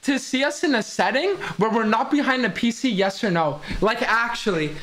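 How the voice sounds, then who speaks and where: Streamer guy, young, teasing banter, lively swinging voice, kitchen stream with faint noise behind. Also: very high-pitched, normal speed. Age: young adult. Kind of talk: casual stream chat. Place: home kitchen, indoors.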